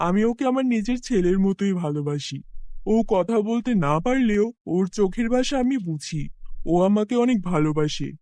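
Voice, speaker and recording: A man playing a poor, soft-hearted village farmer in an animated fairy tale, speaking in an earnest, affectionate way. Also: sits high, no room sound.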